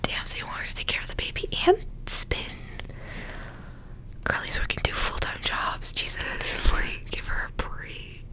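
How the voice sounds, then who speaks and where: A woman whispering softly as she reads aloud in an ASMR style. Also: moderate pace. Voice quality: soft unvoiced whisper. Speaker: ASMR reader and channel host. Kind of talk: whispered ASMR storybook reading with casual asides.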